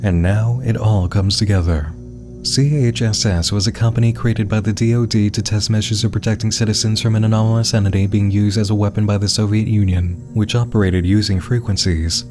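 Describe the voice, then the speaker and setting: A man with a fairly low voice delivers scripted narration as the host of an explainer. His delivery is measured and dramatic, like a storyteller's, with music clearly playing behind him. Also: steady tone.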